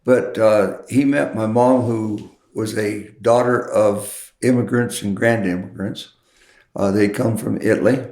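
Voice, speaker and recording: A man's voice: on the low side, fairly even intonation, measured pace, a little mellow, roomy sound. A man from Colorado, a horseman and poet, telling stories casually with dry humor and rural Western turns of phrase. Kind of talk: casual storytelling.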